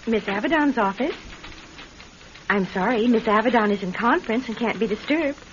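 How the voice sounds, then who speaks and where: A woman plays a young, eager secretary in an old-style radio drama. Her voice is on the high side, polite and apologetic, tripping over itself when flustered. The recording is muffled, with light noise underneath. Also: animated, moderate pace, lightly acted.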